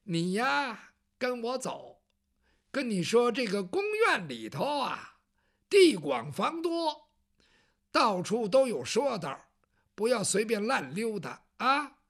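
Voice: medium pitch, big pitch swings, takes it slowly, fairly bright tone, exaggerated voice. A storyteller voicing a fussy, talkative older palace eunuch who nags and teases in a traditional storytelling performance. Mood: chatty. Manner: talkative, nagging, teasing.

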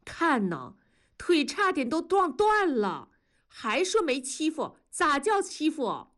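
A woman's voice: high-pitched, wildly swooping pitch, slow pace, fairly bright tone, pitched above the performer's own voice, full character voice. A woman doing a nagging Sichuan grandmother. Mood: grumbling. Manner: grumbling, complaining. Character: old grandmother from Chongqing, nagging and aggrieved.

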